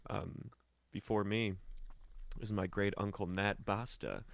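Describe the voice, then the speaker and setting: An American man from St. Louis telling casual stories as an interview guest, in slangy, animated talk. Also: fairly low pitch, unhurried, vocal fry, no echo. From St. Louis, Missouri, United States. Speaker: podcast guest, singer and musician. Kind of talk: casual conversational storytelling.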